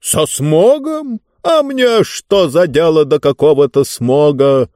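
A man's voice: medium pitch, big pitch swings, darkish tone, dry recording, clean studio sound, voice pushed up. A man voicing a pompous, greedy tyrant who savours words theatrically in an audiobook. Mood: scornful, indignant. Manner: theatrical, drawn-out, emphatic delivery. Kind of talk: audiobook character voice.

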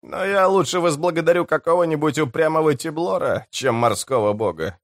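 A man voicing a talkative, friendly rogue with an ironic, animated manner in an audiobook. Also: on the high side, fairly quick, no echo, clean studio sound, voice pushed up, lightly acted. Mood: sardonic.

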